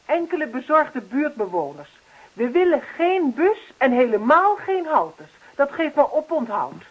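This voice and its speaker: An actress playing an earnest, officious neighbourhood campaigner in a radio play, speechifying persistently. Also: female voice, high-pitched, sing-song, unhurried. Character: earnest, insistent female neighbourhood action-committee campaigner. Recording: dull lo-fi radio play recording.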